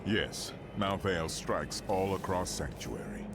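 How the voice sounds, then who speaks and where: A man's voice: low voice, expressive, slow pace, background noise, full character voice. A man playing a grave, resolute sage in a video game, speaking solemnly. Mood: grave, urgent. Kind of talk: video game cutscene acting.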